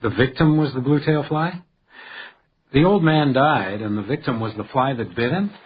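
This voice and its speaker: An actor playing a polite but stubborn hotel detective in a radio crime drama, with a dry, storytelling way of talking. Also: male voice, mid-range voice, normal speed, narrow, tinny audio, a little hiss or hum, natural voice. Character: hotel house detective, male, deferential but stubbornly needling.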